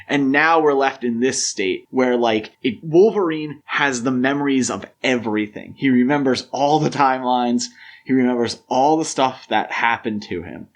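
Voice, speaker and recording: A man's voice: medium pitch, normal speed. A man co-hosting a podcast, discussing films in a casual, conversational and opinionated way. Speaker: podcast co-host.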